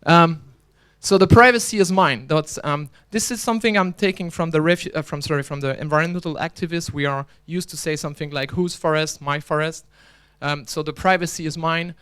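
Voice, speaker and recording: Clear-voiced man giving a joking conference talk. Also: a little high, brisk, hardly any pauses, a bit bright. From Belgium. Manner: chatty, joking, restarts often. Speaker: conference speaker and organizer. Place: conference hall.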